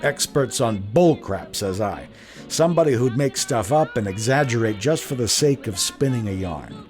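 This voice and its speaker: A man's voice: medium pitch, expressive, moderate pace, darkish tone, soft background music, close to an everyday voice. An actor playing a blunt, wry older Irish-American man telling a rambling, slangy yarn in a radio drama. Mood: wry, defiant. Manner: slangy, chatty, rambling with self-corrections and chuckles. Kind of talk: radio drama narration, folksy first-person yarn.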